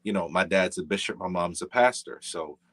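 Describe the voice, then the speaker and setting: A man's voice: low voice, brisk, fairly bright tone. A middle-aged man from Jamaica with a Jamaican accent, a jazz musician talking as a guest in an easygoing, rambling way. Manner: easygoing, rambling, with many fillers and restarts. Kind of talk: casual interview chat.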